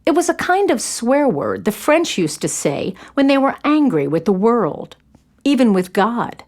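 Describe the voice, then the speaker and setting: A woman's voice: a little high, a little mellow. A woman narrating a scripted story for children.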